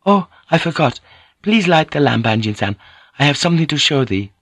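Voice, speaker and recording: A gentle woman of a historical storybook, acted out by a male narrator, speaks in a raised, lilting voice. She is deferential and earnest, apologizing politely as she coaxes, in a close, dry recording. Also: fairly high pitch, very animated, normal speed, no room sound, voice pushed up, heavily acted. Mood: eager, coaxing. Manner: polite, apologetic, careful foreign-sounding English. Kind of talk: audiobook character voice.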